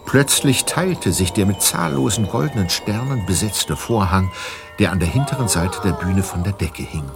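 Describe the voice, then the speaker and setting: A man reading scripted storybook narration as the narrator of a tale. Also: sits low. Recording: music behind the voice.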